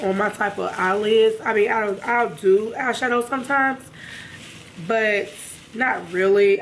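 A young woman with a raspy, hoarse voice, chatting casually to her viewers about makeup in a room at home. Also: mid-range voice, a little hiss or hum, poor audio. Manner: chatty, addresses viewers directly. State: hoarse from a sinus infection. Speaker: beauty vlogger. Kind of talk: casual makeup haul chat.

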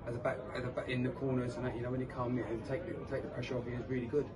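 A young man answering post-match questions as a footballer, casual and halting, repeating words. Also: medium pitch, level tone. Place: outdoors by a sponsor board at a football ground. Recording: distant mic with crowd noise.

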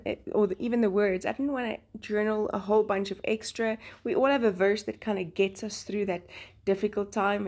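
Woman, south african accent, native afrikaans speaker, chatty voice-over, laughs between phrases. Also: medium pitch. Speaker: Bible journaling channel host. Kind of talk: voice-over narrating a craft process.

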